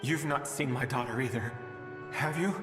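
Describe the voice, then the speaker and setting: A man playing a weary, doomed father from an ancient age in a dramatic game scene, speaking in a halting, resigned, reflective way. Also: mid-range voice, lightly acted. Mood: troubled. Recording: echoey hall reverb, music behind. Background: music.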